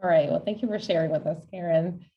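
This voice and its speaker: A woman's voice: on the low side, quick pace. A woman with an American accent giving a personal storytelling presentation as a panel speaker. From Roanoke, Virginia, United States. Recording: slightly distant, muffled microphone.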